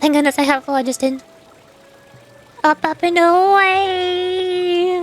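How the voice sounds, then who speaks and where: Girly high voiced woman streaming a game, cutesy and playful, bit of music behind. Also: little pitch movement, slow. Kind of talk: playful game stream commentary and character read-aloud.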